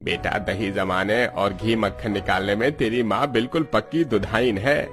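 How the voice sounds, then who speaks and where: A man's voice: fairly low pitch, moderate pace, lightly acted. A man voicing a fond, teasing village husband and father in a cartoon story, affectionate in manner. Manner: affectionate praising. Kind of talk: Hindi cartoon story acting.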